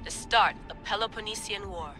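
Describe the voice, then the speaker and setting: A self-assured woman adventurer performed by an actress talks naturally in a video game cutscene. Her voice is forceful and medium in pitch, firm and earnest, over light music. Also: normal speed, natural voice. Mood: determined. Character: determined, self-assured modern female artifact hunter and team boss.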